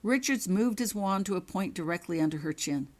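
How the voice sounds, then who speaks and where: A woman reading her own fiction as a scripted, dramatic story narration, in measured, suspenseful short phrases. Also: medium pitch, expressive, a little mellow.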